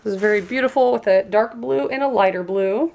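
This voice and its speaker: Female reviewer, congested and stuffy, friendly enthusiastic unboxing delivery, some gravel in the voice. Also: mid-range voice, fairly quick, darkish tone, slight background noise. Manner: chatty and enthusiastic. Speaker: product reviewer.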